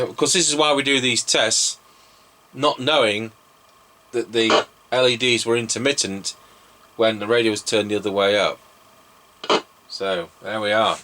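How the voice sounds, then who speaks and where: A British man hosting a CB radio repair test, calling out power readings and radio procedure in a matter-of-fact way. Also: mid-range voice. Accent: British English. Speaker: radio repair workshop host. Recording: distant, muffled, loud radio noise.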